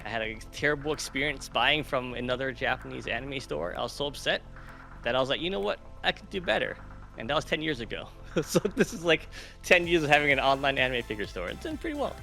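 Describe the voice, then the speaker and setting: A man's voice: medium pitch, very animated, fast, gravelly, sharp tone, faint music behind. A man whose first language is Cantonese, a guest in an interview, speaking cheerfully and laughing often. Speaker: guest, anime figure store owner. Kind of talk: casual interview chat.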